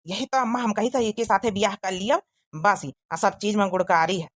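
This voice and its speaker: A woman's voice: medium pitch, steady tone, fairly quick, no room sound, studio quality, close to an everyday voice. A woman voicing an eager, smitten young village son with an Awadhi accent in a comedy cartoon. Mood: smitten, insistent. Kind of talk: rural comedy cartoon dialogue.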